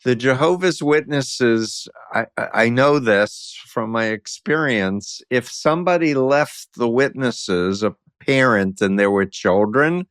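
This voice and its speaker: A man's voice: mid-range voice, takes it slowly, hardly any pauses, a bit bright, no echo, clean studio sound. A man hosting a podcast interview, speaking earnestly and sometimes repeating words as he starts. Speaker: podcast host. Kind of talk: interview conversation.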